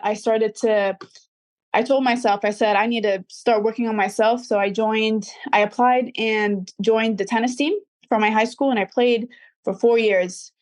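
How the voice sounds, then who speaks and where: A woman's voice: fairly high pitch, a little mellow. Young woman. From Dubai. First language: Arabic. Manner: earnest, reflective, slightly formal. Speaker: guest, recording artist. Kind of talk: interview answers.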